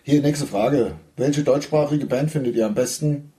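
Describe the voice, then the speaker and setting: Male co-host, mellow voice, loose joking banter, reads questions and riffs on them. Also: medium pitch, normal speed. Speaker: co-host reading listener questions. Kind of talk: casual humorous chat.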